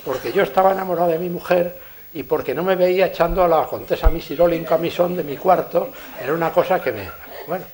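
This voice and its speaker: A man with a Spanish accent from Spain giving a conference lecture, telling lively anecdotes and chuckling at his own stories. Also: on the high side, fast talker. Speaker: engineer giving a conference talk. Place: lecture hall.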